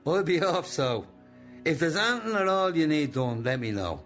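An older Irish actor in a radio play, playing a controlling old boss with a deliberate, coaxing manner and benign menace. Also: male voice, on the high side, big pitch swings, fairly bright tone, soft background music. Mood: brisk, commanding. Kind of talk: radio drama acting.

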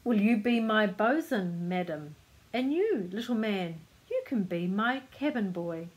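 A woman's voice: mid-range voice, lively intonation, a little slow, lightly acted, poor audio. Woman acting a hearty, roaring sea captain. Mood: hearty commanding. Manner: loud, roaring. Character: hearty, commanding rosy male sea captain.

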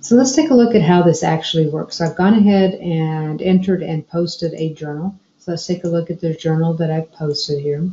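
A woman explaining software step by step as a trainer narrating a screencast tutorial. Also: low voice, normal speed, few pauses. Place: indoor room, screen recording voice-over. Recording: dull, roomy sound.

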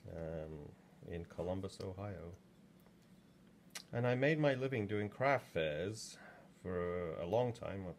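A man's voice: sits low, vocal fry, a little hiss or hum. A man in his sixties from England, chatting in a rambling way with plenty of ums while working in a pottery studio, recorded from a camera just over his shoulder. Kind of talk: casual demo talk while working.

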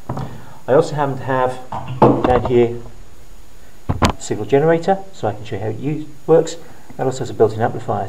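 Clear background noise sits behind a middle-aged man recorded in a classroom. His voice is medium in pitch. He talks through a practical demonstration step by step, in short, plain phrases, as a teacher would. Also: mellow. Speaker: physics teacher presenting a how-to video. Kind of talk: hands-on demonstration tutorial. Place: indoor school science classroom. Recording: clearly noisy room, handling clicks.

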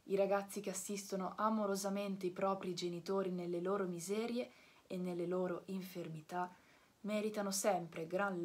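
A forgiving fairy from a storybook speaks , as a woman reads her lines, in a soft, breathy voice. Her tone stays gentle and tender throughout. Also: mid-range voice, natural voice. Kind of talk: storybook reading.

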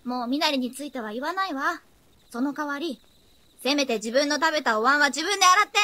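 A woman playing a bossy but caring teenage girl who mothers her companions in a Japanese radio drama, brisk and scolding. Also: high voice, animated, bright, forceful tone, no echo. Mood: firm.

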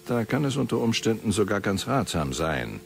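A man playing a jovial, smug, needling middle-aged headmaster in a radio play, talking with teasing hesitations and meaningful pauses. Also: on the low side, big pitch swings, creaky, faint music behind. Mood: smug, probing.

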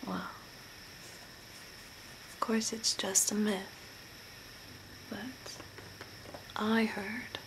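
A young woman whispering breathily and softly in a soothing ASMR role play, close to the mic as if giving personal attention. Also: medium pitch. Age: young adult. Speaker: ASMR role-play performer, makeup artist. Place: small room at home, by a window. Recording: noisy home recording with ambient hiss.

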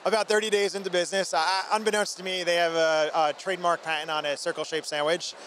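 A man's voice: sits high, level tone, fast talker, fairly bright tone. A man in his thirties giving an interview as a company founder, talking informally and energetically into a handheld microphone. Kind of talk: trade show interview. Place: busy trade show booth indoors. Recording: faint convention hall background noise.

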